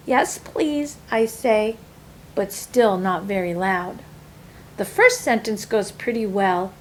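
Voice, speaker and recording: Woman reading a children's story, some background noise. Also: medium pitch. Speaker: storyteller reading a picture book aloud.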